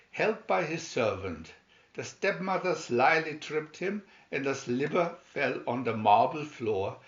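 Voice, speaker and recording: A man narrating a fairy tale as a scripted storybook, reading aloud deliberately. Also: medium pitch, roomy sound, highs cut off. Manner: deliberate read-aloud delivery.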